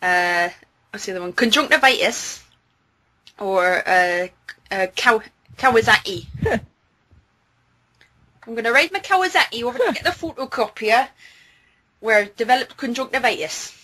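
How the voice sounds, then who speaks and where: A woman's voice: fairly high pitch, animated, normal speed, pauses often, pushed and bright. Chatty Scottish-accented woman. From England. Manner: chatty, rambling, with many fillers. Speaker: interview guest. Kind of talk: casual chat on a voice call. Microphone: video call.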